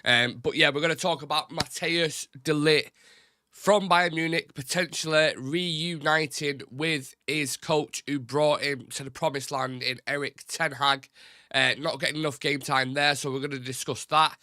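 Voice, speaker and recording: Bunged-up nasal man, bright voice. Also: a little high, fairly even intonation, normal speed, dry recording, clean studio sound. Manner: rambling, chatty, informal. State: congested, blocked nose. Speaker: football channel host. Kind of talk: casual live-stream chat.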